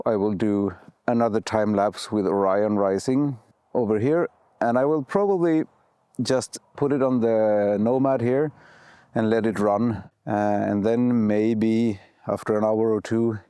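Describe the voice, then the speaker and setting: A man with a cold and a sore throat, vlogging outdoors at night and thinking aloud with pauses and restarts. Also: lowish voice, warm tone, clean tone, dry recording. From Sweden. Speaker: photography vlogger. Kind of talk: casual vlog narration.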